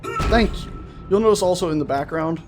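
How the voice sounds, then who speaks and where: A man's voice: sits high, lively intonation, normal speed. A man giving casual, rambling let's play commentary, often interrupting himself with playful wording. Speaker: gaming video host. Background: game music.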